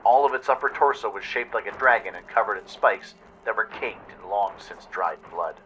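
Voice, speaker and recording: A man's voice: on the low side, normal speed, a bit bright, highs cut off, slight background noise. A man narrating a scripted adventure story dramatically, as in a radio play. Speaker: narrator and radio show host.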